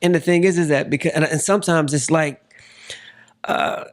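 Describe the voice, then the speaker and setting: A man's voice: on the high side, moderate pace. A man in his forties hosting a casual interview, circling toward his point with repeated phrases. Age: 40s. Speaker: podcast host interviewing a guest.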